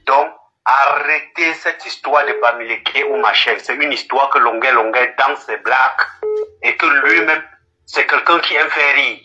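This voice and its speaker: A man's voice: mid-range voice, unhurried, bright, forceful tone. A man from Cameroon, a caller praising the host emphatically and lecturing listeners. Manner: fervent, emphatic and preachy. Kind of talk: live phone-in praise. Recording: dull lo-fi phone line.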